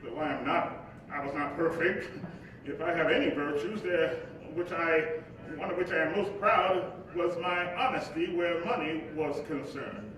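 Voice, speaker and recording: An actor playing the spirit of Dr. King, an earnest, reflective preacher and father, in a live stage monologue with halting asides. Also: a bit bright. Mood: indignant. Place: live stage before an audience. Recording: echoey hall, distant mic, noisy rumble.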